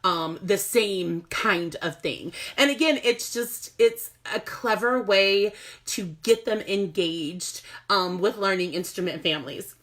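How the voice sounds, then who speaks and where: A woman's voice: medium pitch, takes it slowly, fairly bright tone. Chatty music teacher woman. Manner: chatty, laughs often, many fillers. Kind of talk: casual instructional video. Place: at home.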